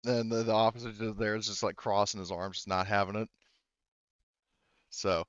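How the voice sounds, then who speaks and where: A man's voice: on the low side, steady tone, normal speed, smooth, dry recording. Clean-voiced male game master, slightly dull sound. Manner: informal, hesitant phrasing. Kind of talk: improvised tabletop game narration.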